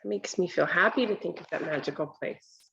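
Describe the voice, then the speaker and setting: A woman in her thirties reading a picture book aloud to children, deliberate and instructional, pausing to explain and ask questions. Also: on the low side, vocal fry, pushed and bright, lo-fi sound, a little hiss or hum. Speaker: read-aloud presenter for children. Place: home kitchen, indoors.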